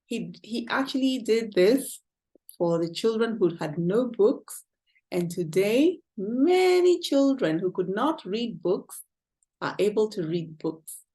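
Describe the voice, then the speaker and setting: Woman, children's storyteller, slow pace, slightly breathy husky voice, expressive read-aloud style. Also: medium pitch. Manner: expressive, pausing to ask listeners questions. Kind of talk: read-aloud picture book narration for children.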